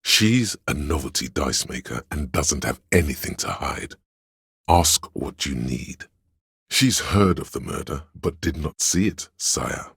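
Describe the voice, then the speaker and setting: A scheming, theatrical servant of drama from a video game, counselling his liege in sly, archaic flourishes. The voice is profoundly low and thick with gravel. It is recorded close and very dry. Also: expressive, measured pace, fairly bright tone, dry recording, exaggerated voice. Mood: suspicious, sly, then knowing, courtly. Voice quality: gravelly, husky.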